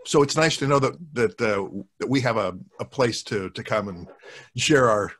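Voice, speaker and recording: A man in his sixties talking as a podcast guest in a casual, thoughtful, halting way, close to a desk microphone at home. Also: mid-range voice, animated, fairly bright tone. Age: 60s. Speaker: podcast guest, radio show producer. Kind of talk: casual discussion of old radio and film. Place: home room, video call. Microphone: large desk microphone, close.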